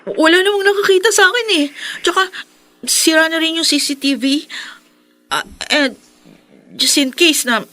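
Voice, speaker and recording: High-voiced, wheedling spoiled daughter. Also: female voice, moderate pace, long pauses, bright, forceful tone. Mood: anxious, pleading. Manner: pleading, wheedling, agitated. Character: spoiled, anxious grown daughter pleading with her father. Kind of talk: radio drama acting.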